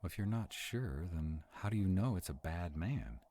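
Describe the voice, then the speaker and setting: A man's voice: low-pitched, animated, normal speed, mellow. A man playing a sarcastic, protective vampire in a conversational audio roleplay. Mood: uneasy, wry. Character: sarcastic male vampire, protective of a young demon companion.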